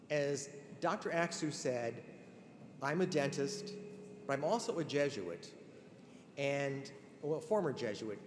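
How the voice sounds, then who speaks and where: A man's voice: medium pitch, a little hiss or hum. An older man giving an earnest, conversational ceremonial address, with many restarts. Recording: long hall reverb, faint ambience.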